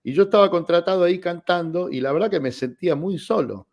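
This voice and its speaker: A man in his forties with an Argentine accent, a guest telling personal anecdotes in an expressive, conversational radio interview style. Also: high voice, fast talker. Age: 40s. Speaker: guest, tenor singer.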